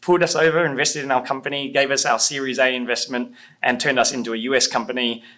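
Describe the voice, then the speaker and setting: A man with an Australian accent, a podcast guest who talks with dry, self-deprecating humor and halting fillers. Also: mid-range voice, fairly even intonation, pushed and bright. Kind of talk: casual interview chat.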